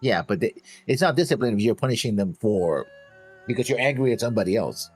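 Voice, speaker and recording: A man's voice: on the low side, animated, fast talker, slightly rough, soft background music. A man co-hosting a reaction show, chatting casually about what he is watching.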